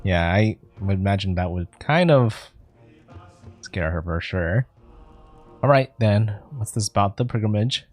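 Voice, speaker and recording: Guy streamer w low voice, chatty game commentary, reacting out loud, faint music. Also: expressive, unhurried. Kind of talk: casual let's play commentary.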